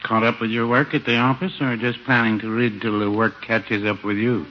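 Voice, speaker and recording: An actor playing an older, folksy shopkeeper in a 1950s radio comedy, gently needling with a deadpan manner. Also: male voice, lowish voice, natural voice. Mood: dry teasing. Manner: deadpan, drawn-out. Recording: dull, slightly noisy 1950s radio recording.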